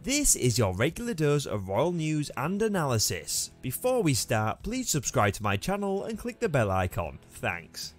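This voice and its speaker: Man reading news, with lively intonation. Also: on the high side, moderate pace, few pauses. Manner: formal, read-aloud delivery. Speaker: narrator of a royal news channel. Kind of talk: scripted news narration. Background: background music.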